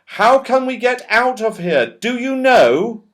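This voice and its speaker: A man's voice: very high voice, very animated, slow, fairly bright tone, pitched above the performer's own voice, heavily acted. A man voicing a plucky, sensible young boy who takes the lead in a children's storybook. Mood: alarmed, curious.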